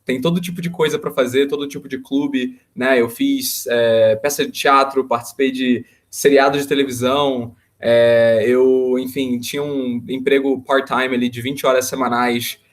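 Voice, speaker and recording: A man's voice: mid-range voice, little pitch movement, normal speed, hardly any pauses, dry recording. Young Rio man chatting, dry close sound. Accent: Rio de Janeiro Brazilian Portuguese. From West Zone of Rio de Janeiro, Brazil. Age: early 20s. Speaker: interview guest, university student. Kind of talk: casual personal storytelling in an interview.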